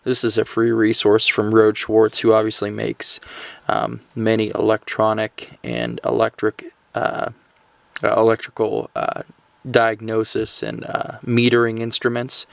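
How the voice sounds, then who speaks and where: A man with a creaky, gravelly voice talks through a casual gear review. He speaks off the cuff and haltingly, often pausing mid-phrase. The sound is dry and close, with little room around it. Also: fairly low pitch, completely flat delivery, no room sound. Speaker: gear and radio reviewer hosting a video. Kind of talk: casual product review talk, unscripted.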